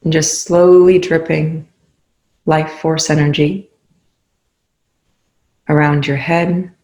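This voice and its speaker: A meditation guide speaks very slowly in an even, level tone with noticeable creak at the edges. The delivery is soothing and unhurried, leaving long pauses between short phrases. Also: medium pitch.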